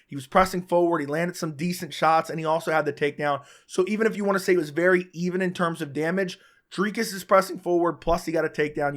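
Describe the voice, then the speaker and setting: A man with a fairly high voice talks straight to his audience, hoarse and worn because he is sick and losing his voice. He runs through his take in a casual, opinionated way, rushing through some stretches and stumbling over a word now and then. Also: fairly bright tone. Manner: casual, opinionated, talks fast in bursts with restarts. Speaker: MMA commentator, channel host. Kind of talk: solo post-fight recap to camera.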